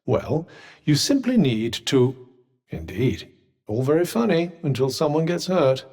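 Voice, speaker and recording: A man's voice: fairly high pitch, sing-song, a little slow, darkish tone, husky, full character voice. An actor voicing a sentient magical cuff in a video game, a dry, witty and protective male companion with a British accent and a formal, well-spoken, advising manner. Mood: calm, dry. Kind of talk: scripted game dialogue. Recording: long reverberant tail on voice.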